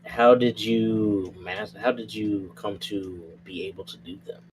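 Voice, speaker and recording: A man in a tabletop roleplay, improvising questions in a halting, placating way. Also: fairly low pitch, fairly even intonation, background noise.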